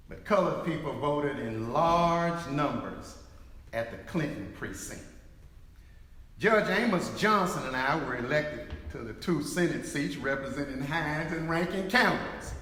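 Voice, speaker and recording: A man playing a dignified 1870s state senator, giving his account in a grave, measured dramatic reading. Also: medium pitch, a bit bright. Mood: proud. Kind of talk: dramatic reading of historical testimony. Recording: echoey hall with long reverb.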